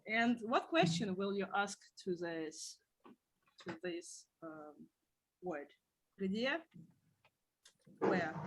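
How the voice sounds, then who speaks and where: A woman's voice: fairly high pitch, fairly bright tone, a little hiss or hum, low-quality recording. A woman teaching a beginner language class, patiently explaining words one at a time.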